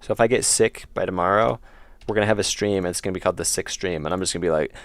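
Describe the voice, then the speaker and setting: A man's voice: deep voice, rapid-fire, no room sound. A young man streaming his indie game development, chatting casually with viewers and rambling through self-corrections while losing his voice and feeling slightly ill. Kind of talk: casual livestream chat answering chat.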